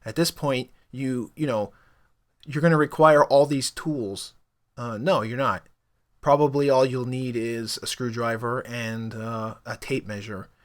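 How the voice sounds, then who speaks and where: A man's voice: medium pitch, fairly even intonation, unhurried. A young man whose first language is Spanish, talking casually to camera about learning languages, rambling with lots of fillers. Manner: conversational, rambling with frequent fillers. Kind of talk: casual opinion monologue.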